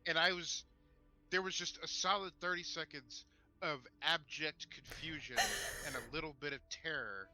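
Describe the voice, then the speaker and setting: A man co-hosting a gaming livestream, talking informally and haltingly, with the odd swear word. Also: fairly high pitch, pushed and bright, rough recording. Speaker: co-host of a tabletop role-playing talk stream. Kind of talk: casual livestream chat.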